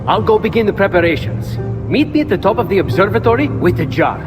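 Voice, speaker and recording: An actor playing a quick-witted, unapologetic young male thief in a video game, glib and persuasive. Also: high voice, very animated. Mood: glib, urgent. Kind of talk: video game fantasy acting. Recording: reverberant sound, music behind.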